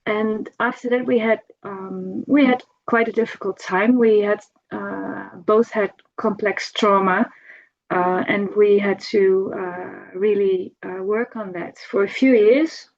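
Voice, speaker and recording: A middle-aged woman shares a personal remembrance in an informal way. Her voice sits at an ordinary pitch for a woman, and she speaks haltingly, searching for words and repeating phrases. The audio is dull and lo-fi. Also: fairly even intonation, creaky. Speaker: devotee giving a personal homage.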